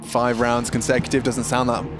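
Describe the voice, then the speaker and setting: A man doing play-by-play esports commentary who gets excitable and jumps up in pitch on big plays. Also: normal speed, over music.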